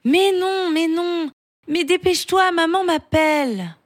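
A woman's voice: very high voice, measured pace, voice pushed up. A woman voicing a young boy who talks in a hesitant, childlike way. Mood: impatient. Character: young boy, shy then impatient.

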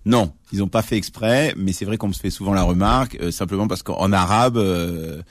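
A man in his late thirties with a very low voice, answering questions as a radio guest. He explains patiently, restarting sentences and filling pauses as he thinks. The sound is close and dry, with no room around it. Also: no room sound. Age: late 30s. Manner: thoughtful, explanatory, frequent fillers and restarts. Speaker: guest, journalist and author. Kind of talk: radio interview.

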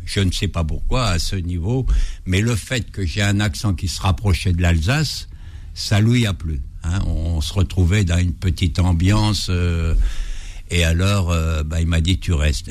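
Older guy with an ardennes accent, really deep voice, jokey and rambly, lots of ums. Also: a little mellow. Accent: Ardennes French. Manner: folksy and joking, rambling with fillers. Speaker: guest, surgeon and anthropologist. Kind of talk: radio interview, anecdotal.

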